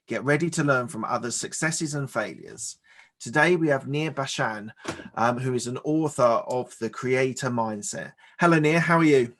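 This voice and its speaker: A man's voice: mid-range voice, fairly bright tone. A man speaking as a podcast host and interviewer, sometimes repeating words as he forms his questions.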